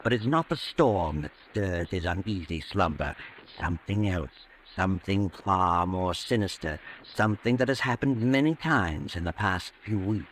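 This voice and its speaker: An actor playing a sinister, all-knowing narrator of night tales in a 1940s radio drama, speaking in an ominous, deliberate, theatrical way. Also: male voice, deep voice, expressive. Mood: brooding, suspenseful. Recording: noisy old radio recording.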